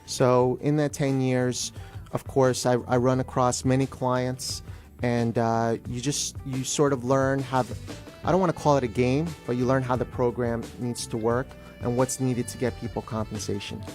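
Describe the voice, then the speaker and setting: A middle-aged man with a mid-pitched voice talks as a legal guest on a radio show. He explains procedure emphatically, checking that the listener follows. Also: flat delivery, normal speed, darkish tone, faint music behind.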